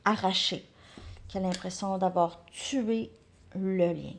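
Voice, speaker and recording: A woman's voice: medium pitch, steady tone, takes it slowly, a little hiss or hum. Chatty woman reading tarot cards. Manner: chatty, thinks aloud, repeats words, drops into soft asides. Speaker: tarot card reader. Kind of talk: casual live card reading.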